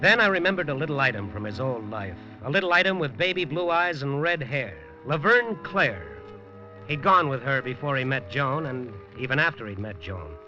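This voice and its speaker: An actor playing a hard-boiled newspaper reporter who narrates with a wry, clipped noir delivery in 1950s radio style. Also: male voice, mid-range voice, sharp tone. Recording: dull old radio broadcast with music.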